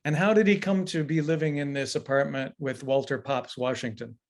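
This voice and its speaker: A man's voice: medium pitch, steady tone, a little fast. A man hosting a theater podcast, asking curious questions in a friendly way and laughing often. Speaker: podcast host. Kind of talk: casual interview.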